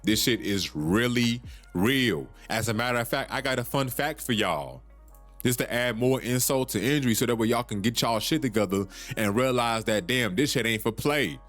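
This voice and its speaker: A man hosts a solo podcast at a mid pitch. He presses his points in an urgent, preachy way, repeats himself and swears casually. Faint music plays behind him. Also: expressive, normal speed, a bit bright. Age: 30s. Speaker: podcast host.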